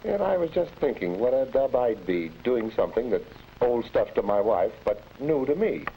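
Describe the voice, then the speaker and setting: Folksy, animated 1940s American foreman. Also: medium pitch, moderate pace. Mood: grumbling, troubled. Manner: folksy, colloquial. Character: plain-spoken, slightly baffled working-man foreman. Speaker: factory foreman and husband. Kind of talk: 1940s instructional film acting. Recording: noisy vintage 1940s film soundtrack.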